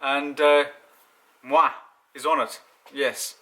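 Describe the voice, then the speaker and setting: A man's voice: a little high, flat delivery, slow, sharp tone. A man who grew up in Zimbabwe, chatting casually and self-deprecatingly about fixing up his car in his home garage. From born in South Africa, grew up in Zimbabwe. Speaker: car vlogger. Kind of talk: casual chat while working on a car.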